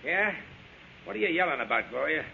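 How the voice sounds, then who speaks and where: An actor playing a rough, slangy, lovesick henchman in a 1940s radio crime drama, plain-spoken and pleading. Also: a little high, expressive, a little fast. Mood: exasperated. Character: rough-talking lovesick henchman, loyal but exasperated. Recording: muffled, hissy old radio recording.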